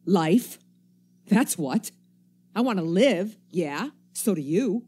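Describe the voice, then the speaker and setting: A woman's voice: fairly low pitch, expressive, slow pace, mellow, slightly rough, exaggerated voice. A woman acting a wary, embittered survivor in a radio play, with folksy, slangy, cynical speech. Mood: scheming, scornful. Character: wary, embittered survivor stranger armed with a knife.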